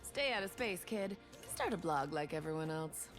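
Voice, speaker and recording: A woman's voice: fairly low pitch, lively intonation, moderate pace, soft background music, lightly acted. An actress voicing a brash, sardonic female space bounty hunter in a video game, with dry, taunting delivery. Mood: dismissive. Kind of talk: video game character voice lines.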